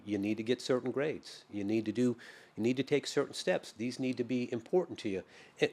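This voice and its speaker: A man's voice: mid-range voice, darkish tone. Middle-aged male guest, mid-range voice, reflective and sincere. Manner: earnest, reflective, with frequent restarts. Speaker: podcast guest, private lender. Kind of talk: conversational interview.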